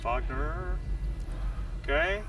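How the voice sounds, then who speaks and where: Slow, halting man with a bright voice. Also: extremely high pitch, sing-song, vocal fry. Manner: halting, searching delivery. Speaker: game master. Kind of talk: narrating a tabletop roleplaying game. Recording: slightly distant mic with faint noise.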